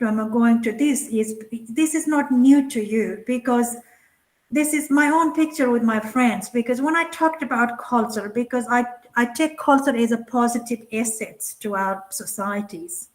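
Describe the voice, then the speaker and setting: Casting: middle-aged woman from Nepal, second-language English, slightly high voice, earnest keynote speaker with plenty of "um" and restarts. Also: low-quality recording. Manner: earnest, many fillers and restarts. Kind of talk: conference keynote talk.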